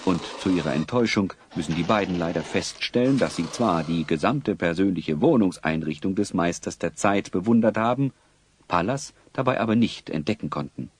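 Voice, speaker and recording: A man's voice: deep voice, animated, normal speed, husky. A man narrating a scripted audio drama as its storyteller. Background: music.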